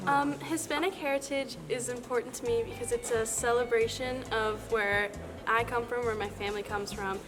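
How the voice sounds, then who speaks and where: Teen girl, higher voice, hesitant, reverberant room, music behind. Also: steady tone, moderate pace. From Cuba. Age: teens. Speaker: interviewed student. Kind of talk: casual interview answers.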